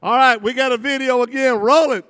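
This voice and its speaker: A man working a live charity auction, rattling off bids in a rapid rhythmic chant and joking with the crowd. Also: extremely high pitch, steady tone, fairly bright tone, smooth. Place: large banquet hall at a gala. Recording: long reverb in a big hall.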